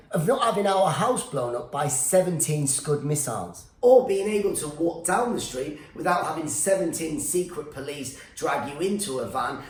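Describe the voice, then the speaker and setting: A man's voice: a little high, reverberant. A male stand-up comedian, born in Iran with Farsi as his first language, delivering a sarcastic rant that keeps slipping into silly put-on voices.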